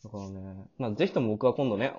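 A man's voice: fairly low pitch, fast, a little mellow, breathy edge. A man hosting a casual backstage chat in a chatty, explanatory manner, as a producer talking things through. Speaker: host and producer of an audio drama. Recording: slightly muffled, lo-fi voice call.

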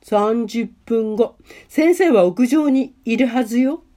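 Sly schoolgirl matchmaker for radio drama woman acting: mellow tone, nonchalant, teasing, lightly drawn-out delivery. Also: on the high side. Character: cool, sly teenage schoolgirl friend playing cupid.

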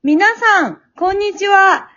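A woman's voice: extremely high pitch, slow, pushed and bright. A woman chatting casually as a regular on a group podcast. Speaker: podcast regular, amateur drama group member. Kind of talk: casual chat. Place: at home, remote group call. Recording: dull remote home recording.

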